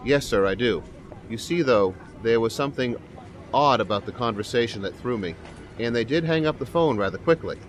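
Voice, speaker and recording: A man's voice: mid-range voice, animated, close to an everyday voice. A man playing a sheepish, deferential police sergeant who speaks hesitantly, in an audio drama. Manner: hesitant, halting. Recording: faint outdoor street and vehicle noise.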